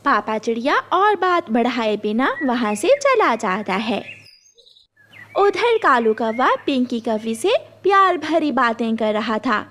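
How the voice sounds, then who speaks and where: High-pitched, slow woman storyteller. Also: big pitch swings, fairly bright tone. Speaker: narrator. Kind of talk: scripted children's story narration.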